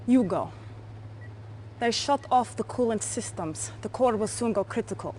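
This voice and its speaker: Casting: actress as Soviet woman officer, higher-pitched, commanding, 1990s game cutscene. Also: expressive, moderate pace, a little mellow, a little hiss or hum, close to an everyday voice. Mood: urgent, alarmed. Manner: urgent, commanding. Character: commanding, devoted female Soviet military officer.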